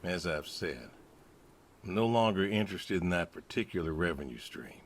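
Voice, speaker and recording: Deep-voiced, weary country farmer. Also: male voice, animated, normal speed, slight background noise. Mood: dry, matter-of-fact. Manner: laconic, dry, folksy, understated. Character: weary, gentle, plainspoken older pig farmer wanting out. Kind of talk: video game dialogue.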